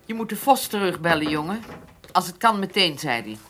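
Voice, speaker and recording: A woman's voice: lowish voice, very animated, fairly quick, a bit bright, soft background music. A woman playing a motherly farm grandmother in a radio drama.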